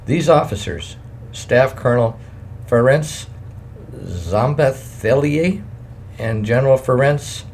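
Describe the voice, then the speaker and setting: A man narrating a memoir as an audiobook in short, halting phrases, adding his own editor's asides and apologizing when he stumbles over foreign names. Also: mid-range voice, animated, gravelly, a little mellow, a little hiss or hum.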